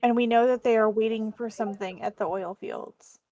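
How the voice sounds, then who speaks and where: A woman's voice: on the high side, steady tone, moderate pace, dark tone. A woman playing a tabletop roleplaying game, chatting casually as she speaks for her character.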